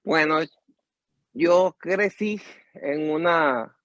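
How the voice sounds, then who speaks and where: An older man with a Venezuelan accent, a musician and teacher telling his life story in an interview, measured and reflective. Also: on the high side, a bit bright, no room sound, muffled recording. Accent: Venezuelan Spanish.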